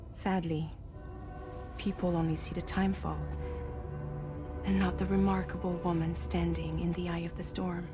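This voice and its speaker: Actress as compassionate crew woman in a game cutscene, explains calmly, lowish pitch, music playing behind her. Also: natural voice. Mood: wistful. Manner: measured, expository. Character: earnest, compassionate female crewmate explaining strange phenomena. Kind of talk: video game cutscene dialogue.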